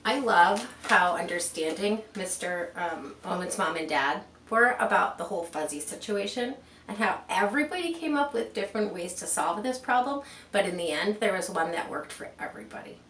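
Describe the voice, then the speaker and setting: A woman's voice: lowish voice, moderate pace. Woman reading a storybook, distant and roomy. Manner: expressive, commenting aside and asking rhetorical questions. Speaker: children's storybook reader. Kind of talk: read-aloud picture book with chatty asides to young listeners. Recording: roomy, distant mic with faint background noise.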